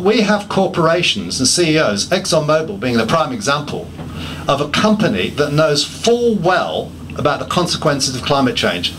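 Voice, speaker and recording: A man's voice: fairly high pitch, fairly bright tone, a little hiss or hum, poor audio. A man giving a public talk, listing points emphatically and often restarting his sentences with self-deprecating asides. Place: pub function room.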